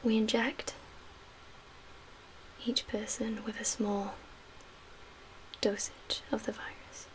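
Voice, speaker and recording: A woman playing a calm survivor camp leader in a soft-spoken ASMR roleplay, with a breathy, whispery voice and a gentle, measured, hushed manner. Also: fairly high pitch, low-quality recording. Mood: calm, explaining. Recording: clear background ambient noise.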